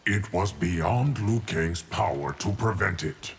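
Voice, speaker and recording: An actor playing a grave, steadfast guardian of time in a fighting game, speaking in a measured, formal way. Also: male voice, low-pitched, animated, very noisy, full character voice. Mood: solemn, assured. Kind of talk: scripted fighting game dialogue.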